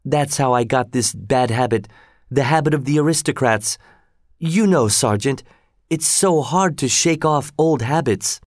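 A man's voice: medium pitch, measured pace, no echo, clean studio sound, lightly acted. A man voicing a pretty, polite young French woman, frightened and pleading, in an audiobook story. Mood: polite, shaking. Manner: pleading, excited, politely ironic.

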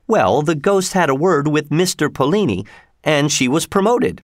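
A man's voice: fairly high pitch, animated, moderate pace, dry recording, studio quality, voice pushed up, natural voice. A man voicing a proud, easily flustered older woman in an audiobook story, with emphatic protests. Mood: polite, earnest. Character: indignant older French woman, proud theater attendant.

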